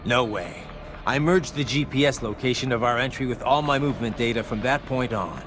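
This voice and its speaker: A man's voice: medium pitch, fairly quick, noisy background, close to an everyday voice. An actor playing a determined, grim male cyborg soldier in a video game cutscene.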